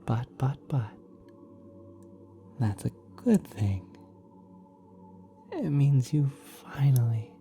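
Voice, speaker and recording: A man plays a kind, otherworldly cosmic being in an ASMR roleplay. The voice is dark and velvety, breathy and often dropping to a whisper. It moves very slowly and haltingly, coddling the listener tenderly over ambient music. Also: mid-range voice. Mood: tender, reassuring. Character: kind, doting ancient celestial being, ethereal and motherly. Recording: cosmic ambient sound effects behind.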